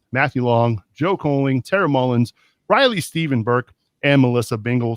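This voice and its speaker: A man's voice: medium pitch, a bit bright, no echo, clean studio sound. A man hosting a soccer talk podcast, moving between segments and thanking supporters. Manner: upbeat host reading segment transitions. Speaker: podcast host. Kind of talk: casual chat and show segments.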